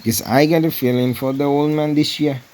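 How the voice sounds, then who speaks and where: Man putting on a folksy village wife, pitched up. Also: steady tone, moderate pace, darkish tone, lightly acted. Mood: regretful. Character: folksy village wife, chatty and plain-spoken.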